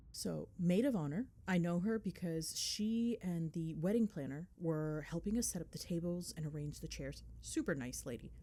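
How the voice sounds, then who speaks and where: A cheeky, affectionate girlfriend acted out by a woman chats in a casual ASMR roleplay. Her voice sits on the low side for a woman and swings widely in pitch. She tells gossip with dramatic flair and sarcasm. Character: chatty, cheeky, affectionate girlfriend gossiping while driving home. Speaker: voice actor.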